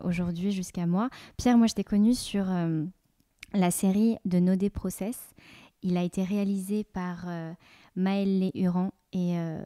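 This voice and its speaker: Mellow-voiced female podcast host. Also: medium pitch, no echo, clean studio sound. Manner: friendly, measured, with frequent fillers. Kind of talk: podcast interviewing.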